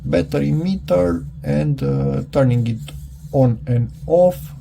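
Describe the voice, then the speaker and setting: A man thinking aloud in a casual, unscripted hands-on product review, halting and muttering to himself as he goes. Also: fairly high pitch, vocal fry, noisy background.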